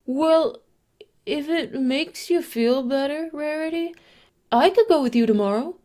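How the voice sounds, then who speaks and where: Woman voicing an earnest, high, soft-toned little boy. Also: a little slow. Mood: bashful, flustered. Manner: earnest, stammers when flustered. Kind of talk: animated fan audio drama.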